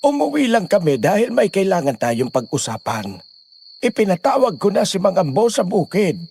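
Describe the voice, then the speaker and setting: A man's voice: sits high, wildly swooping pitch, moderate pace, gravelly, darkish tone, slightly rough, full character voice. A man playing a stern, grave village father who gives orders and warnings in a Filipino horror audio drama. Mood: grave, commanding. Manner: commanding, grave.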